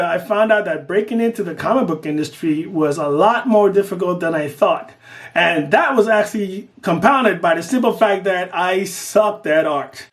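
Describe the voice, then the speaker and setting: A middle-aged man speaks earnestly to the camera, his voice sitting a bit high for a man. He tells his story in lively swings, rushing some phrases and stretching others out for emphasis. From Guyana. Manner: earnest, lively, swings between quick bursts and slow emphasis. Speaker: artist making an audition video.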